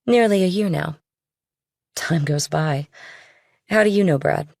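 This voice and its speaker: A woman's voice: low-pitched, measured pace, creaky, a little mellow, no room sound, studio quality, full character voice. A woman playing a young, worldly, guarded girlfriend in an audiobook, speaking casually and directly. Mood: probing. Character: young worldly girlfriend of a rich older man, guarded, pointed. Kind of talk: audiobook character dialogue.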